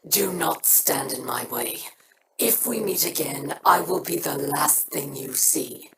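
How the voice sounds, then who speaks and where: A vengeful low alien warrior. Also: female voice, expressive. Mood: menacing. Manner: menacing, slow. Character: vengeful alien warrior woman, proud, hard. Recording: roomy echo with noise.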